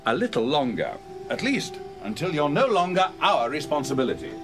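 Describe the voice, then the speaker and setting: An actor with a British accent playing a formal, dismissive hospital doctor in a horror audio drama. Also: male voice, on the high side, huge pitch swings, brisk, music in the background. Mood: measured, sceptical. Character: sceptical, measured hospital doctor, a man.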